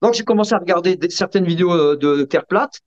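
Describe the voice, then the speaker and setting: A man being interviewed, explaining casually in a halting way and often checking that the listener follows. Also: high-pitched, animated. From Sicilian family background. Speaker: interview guest. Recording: dull, muffled lo-fi sound.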